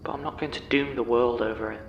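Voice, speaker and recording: A man's voice: medium pitch, fairly even intonation, fast talker, lo-fi sound, natural voice. Man acting a tender, earnest man, faint noise. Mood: tender, firm. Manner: earnest, hesitant, gentle. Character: tender, steadfast man pleading with his beloved. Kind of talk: audio drama acting.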